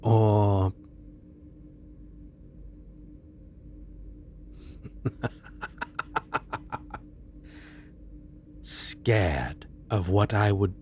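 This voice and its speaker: A man playing a suave, courteous yet menacing supervillain interviewer in a soft-spoken, deliberate ASMR roleplay. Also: low voice, slight background noise. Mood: intrigued, teasing menace. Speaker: voice actor.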